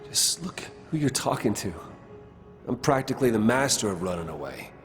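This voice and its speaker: An actor plays a heartfelt, slightly goofy man in love in a game cutscene. His pitch is mid-range and moves around freely, and he talks casually. Music plays clearly behind him. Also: sing-song, normal speed, lightly acted. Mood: earnest, urgent. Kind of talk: video game cutscene acting.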